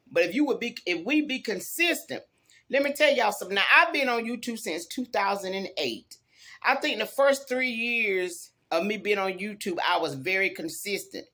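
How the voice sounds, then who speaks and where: A woman's voice: on the high side, expressive, moderate pace, pushed and bright. A tired woman gives a casual, emphatic pep talk to viewers, folksy and fond of repeating her phrases. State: tired after work. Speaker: YouTube vlogger.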